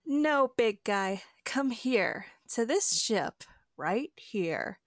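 A woman acting a playful, affectionate lover in an audio drama, speaking softly and languidly with an intimate manner. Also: a little high, sing-song, very slow, dry recording, studio quality, heavily acted. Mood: playful, tender. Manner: soft, languid. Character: playful, affectionate female lover, contented and teasing.

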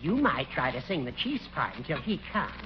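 An actor playing a needling, self-important old judge in an officious 1940s radio comedy style. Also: male voice, on the high side, big pitch swings, brisk. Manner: officious, teasing. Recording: muffled, hissy 1940s radio recording.

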